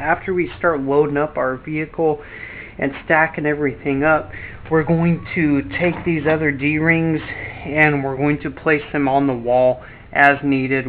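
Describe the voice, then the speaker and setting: A man giving a casual walkthrough of his cargo trailer setup. He explains things plainly and relaxed, with fillers and restarts. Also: on the high side. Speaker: DIY channel host. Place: inside an empty cargo trailer. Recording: tinny lo-fi audio with faint background noise.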